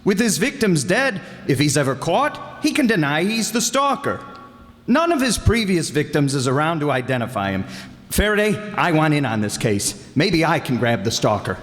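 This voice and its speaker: A man plays a breezy, confident amateur sleuth from an old radio mystery. The delivery is animated and fairly high for a man, teasing and cocky, heard in a reverberant hall. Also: normal speed. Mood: urgent explaining. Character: cocky, wisecracking amateur detective hero. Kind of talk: old-time radio crime drama acting. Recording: reverberant hall stage performance.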